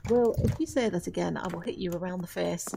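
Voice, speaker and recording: A woman acting a centuries-old witch in an audio drama, firm but caring, speaking earnestly in formal, measured phrases. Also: on the low side, creaky, dark tone, slight background noise. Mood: firm, consoling.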